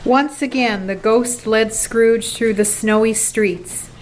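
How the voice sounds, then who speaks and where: A woman narrating a radio play in a measured, scripted storybook style. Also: on the high side, fairly even intonation, slight background noise, low-quality recording.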